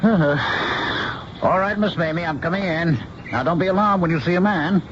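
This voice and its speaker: A man acting in a radio drama as a gentle, friendly, easygoing painter. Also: a little high, fairly quick, background noise, close to an everyday voice. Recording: dull old radio recording.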